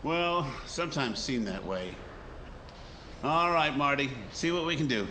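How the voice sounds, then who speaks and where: A man's voice: a little high, animated, unhurried, noisy background. A man playing a cynical, hard-boiled newspaper editor in a 1950s-style radio drama, clipped and matter-of-fact. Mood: wary, businesslike. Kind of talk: 1950s radio drama acting and first-person narration.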